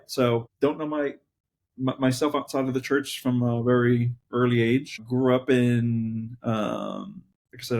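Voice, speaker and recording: A man's voice: mid-range voice, a little mellow. A man with a mid-range voice talks through his own life story as a pastor guest on a podcast. He is reflective and conversational, often stopping and restarting as he looks for the right words. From Colombia and New Jersey. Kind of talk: conversational personal testimony.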